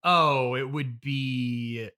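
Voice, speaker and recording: A man hosting a casual comedy interview in a halting, stammering, agreeable way. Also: mid-range voice, sharp tone, clean tone. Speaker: interviewer and host.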